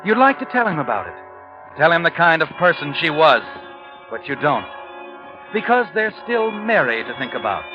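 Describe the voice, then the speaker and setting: Sinister male narrator, 1940s radio mystery, dramatic, highish voice, dull old recording. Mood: knowing, brooding.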